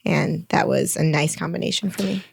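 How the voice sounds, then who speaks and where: A woman in her late twenties talks through her engineering career as a podcast guest, explaining things patiently and laughing easily. Her voice sits low with a gravelly fry, and the recording is close and dry. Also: steady tone, normal speed, no echo. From Michigan, United States. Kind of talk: conversational interview.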